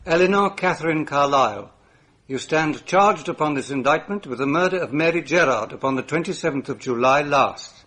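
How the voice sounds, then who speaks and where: A solemn British court clerk. His voice is fairly high for a man, and he declaims formally in radio drama style. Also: animated, a little fast, bright, forceful tone. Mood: stern, official. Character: solemn male court clerk reading a charge.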